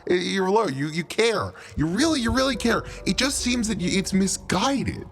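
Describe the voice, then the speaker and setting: Man playing an animated, rambling lich bureaucrat. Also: high-pitched, normal speed, creaky, faint music behind, pitched above the performer's own voice, full character voice. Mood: indignant, incredulous. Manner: rambling, stammering, repeats himself. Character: chatty, evasive undead lich bureaucrat, faux-friendly, defensive. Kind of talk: improvised tabletop roleplay.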